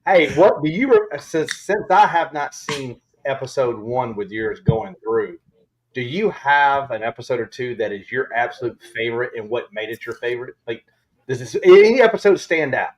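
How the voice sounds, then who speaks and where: A man's voice: medium pitch, animated, normal speed, poor audio. A man hosting a casual livestream chat, asking questions in a joking, profane way. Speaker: livestream host.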